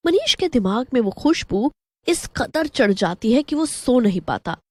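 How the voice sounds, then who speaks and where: A woman's voice: a little high, big pitch swings, a little slow, a little mellow, dry recording. A woman narrating a scripted story as the storyteller.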